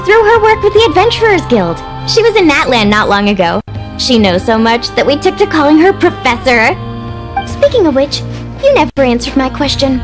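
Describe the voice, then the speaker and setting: A bubbly young adventurer in a game. Her voice is high and very lively, easygoing and friendly, with music playing behind it. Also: normal speed. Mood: excited, friendly. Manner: upbeat, breezy, casual.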